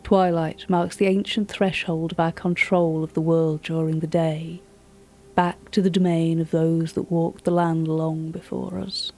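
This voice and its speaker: An actress playing a chatty, wry British gallery writer, telling a story directly to a listener in audio drama narration. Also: female voice, sits low, little pitch movement, measured pace, a little mellow. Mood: hushed, ominous.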